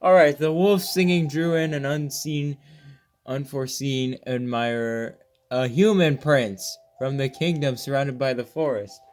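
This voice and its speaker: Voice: medium pitch, steady tone, unhurried, a little mellow, soft background music. A gaming video host reading a game's story text aloud, often stumbling over words and rereading them, with casual reactions.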